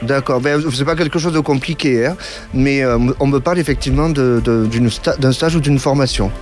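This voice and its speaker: A man's voice: medium pitch, brisk, soft background music. A man hosting a radio call-in consultation, reassuring callers while hesitating with many fillers and restarts. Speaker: radio clairvoyant host taking calls.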